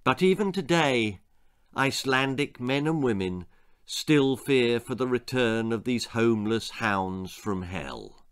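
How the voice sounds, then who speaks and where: A man's voice: medium pitch, animated, slow pace, clean tone. A man in his sixties reading a children's horror-comedy story aloud as its author, theatrical and relishing the grisly detail. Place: small indoor book-lined room. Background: music.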